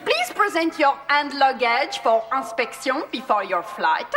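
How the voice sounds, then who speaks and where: A woman's voice: high-pitched, big pitch swings, a little slow, fairly bright tone, full character voice. A woman playing a condescending French airport security officer with a French accent, mocking and sing-song. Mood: haughty. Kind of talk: sketch comedy acting.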